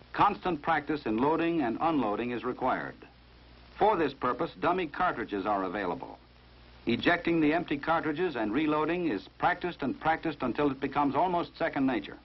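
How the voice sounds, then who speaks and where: An American man narrates a 1950s instructional film with measured, formal authority. His voice sits at an average male pitch and has a rough, husky edge. The sound is dull and muffled, like a vintage film soundtrack. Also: animated.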